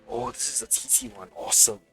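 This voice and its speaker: A man's voice: mid-range voice, quick pace, bright, forceful tone, faint music behind. A man doing a live game playthrough, reading dialogue aloud and adding casual offhand comments. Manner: casual offhand remarks mixed with read-out dialogue. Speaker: game streamer.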